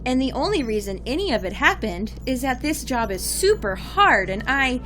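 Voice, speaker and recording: A woman's voice: high-pitched, big pitch swings, moderate pace, fairly bright tone. A woman playing a breezy, sarcastic leader who feigns ditzy remorse in a comic audio drama. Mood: flippant, sarcastic. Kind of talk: audio drama comedy acting.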